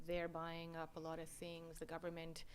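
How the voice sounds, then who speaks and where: A middle-aged woman with a somewhat low voice speaks earnestly and in detail, and she grows animated when she quotes other people. Faint room noise sits behind her. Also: moderate pace. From born in England, raised in Canada. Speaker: guest, photographer and nonprofit founder. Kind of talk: conversational interview.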